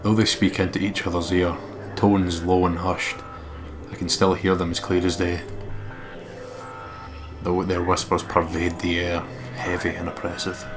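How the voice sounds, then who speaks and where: A man reading a horror visual novel aloud as a let's play narrator, in a measured, dramatic way. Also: sits low, monotone. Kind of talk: reading game narration aloud, with casual asides. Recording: music behind the voice. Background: game music.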